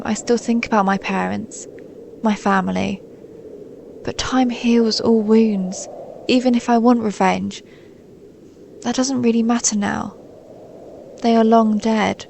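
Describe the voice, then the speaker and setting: A woman playing a centuries-old snow maiden in an intimate audio roleplay, a gentle, stoic and melancholy guardian spirit who speaks in a soothing, formal, measured way. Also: fairly high pitch, steady tone, creaky, a little hiss or hum. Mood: wistful, sorrowful. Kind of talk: scripted audio roleplay, intimate monologue to listener.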